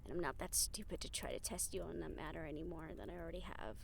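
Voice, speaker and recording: A woman playing a defiant, sharp-tongued young human handler in an audio roleplay, probing and sardonic as she challenges her opponent. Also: sits low, fast talker, gravelly, a little hiss or hum. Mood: wary, resolute. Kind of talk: scripted audio roleplay acting.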